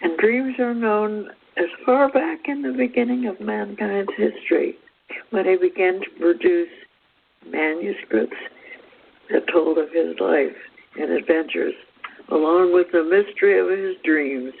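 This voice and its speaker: A man presenting a spiritual teaching as a read-out talk, with measured, deliberate phrasing in short pieces. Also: sits high, creaky, husky. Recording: thin phone-like radio sound.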